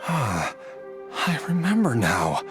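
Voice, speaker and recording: Casting: weary tormented man, slow and halting, mid pitch with big swings, determined underneath. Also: huge pitch swings, over music. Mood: anguished. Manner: halting, hesitant, sighing. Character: anguished, weary man struggling to remember, stubbornly determined. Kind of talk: video game voice acting.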